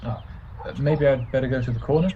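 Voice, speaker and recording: A man's voice: mid-range voice, fairly even intonation, quick pace, mellow, natural voice. A man playing a nervous, apologetic, hesitant stranger in a radio drama. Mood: nervously polite. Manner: hesitant, polite. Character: nervous, apologetic male stranger asking a favour. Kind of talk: classic radio drama acting. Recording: muffled, clearly noisy home recording.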